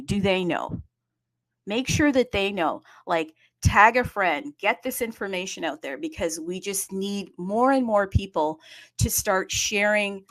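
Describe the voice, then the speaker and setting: Animated woman hosting a casual online space. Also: medium pitch, no echo, rough recording. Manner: animated, rhetorical, asks and answers own questions. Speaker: host of an online audio space. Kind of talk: casual, unscripted community talk. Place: live online audio space, dry sound.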